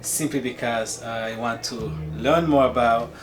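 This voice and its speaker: A man from Rwanda with a Rwandan accent, earnestly giving a personal account at a meeting in a measured, halting way. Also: mid-range voice, fairly even intonation, background noise. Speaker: community meeting participant, city employee.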